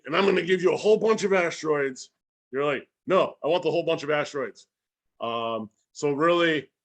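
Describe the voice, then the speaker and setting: A man giving a casual, explanatory talk as a guest educator, informal and folksy, often acting out imagined bits of dialogue. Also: mid-range voice. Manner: animated, acts out imagined dialogue. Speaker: guest speaker and science educator.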